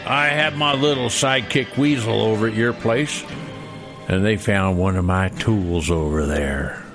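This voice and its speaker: A man's voice: fairly low pitch, unhurried, music in the background. A man playing a boastful old-west handyman rival in a comedy radio skit, talking in a folksy, competitive way. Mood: accusing.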